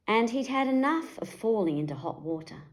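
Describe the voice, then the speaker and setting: A woman reading a picture book aloud to children in an animated storytelling style. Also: fairly high pitch, normal speed.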